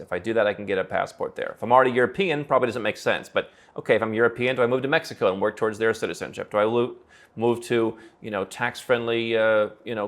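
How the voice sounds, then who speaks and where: Fast-talking low-voiced man. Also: a bit bright. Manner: brisk, confident, thinks aloud with hypotheticals and fillers. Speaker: founder and host of an advisory channel. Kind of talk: direct-to-camera advice talk.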